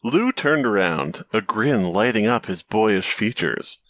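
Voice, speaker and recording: A man's voice: on the low side, expressive, a little slow, fairly bright tone, no room sound. A man co-hosting a comedy podcast, reading a story aloud and breaking off into jokes and laughter. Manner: jokey, laughs often, breaks into riffs mid-reading. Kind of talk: casual comedic chat and dramatic reading.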